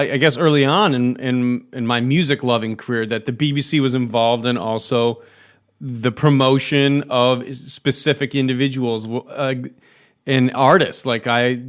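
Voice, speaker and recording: An American man hosting a podcast interview in a casual, chatty, discursive way. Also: mid-range voice. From United States. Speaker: podcast host.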